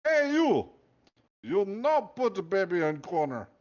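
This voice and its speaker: A man's voice: sits high, very animated, measured pace, a little mellow, dull audio, pitched above the performer's own voice. A man voicing a pompous male Russian prison guard with a heavy put-on Russian accent and broken English. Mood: boastful. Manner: broken English, boastful, theatrical.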